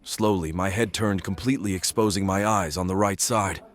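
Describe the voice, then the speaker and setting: A man playing a brooding stalker who narrates an audio drama in deliberate, detached, literary phrases. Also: low voice, steady tone, close to an everyday voice. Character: brooding male stalker narrating his nightly watch. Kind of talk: scripted first-person narration, audio drama.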